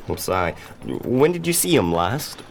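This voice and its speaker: A man's voice: fairly low pitch, very animated, unhurried, creaky, heavily acted. A man playing a polite, plodding police investigator in a radio drama, hesitant and plain-spoken. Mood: hesitant, official. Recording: faint background noise.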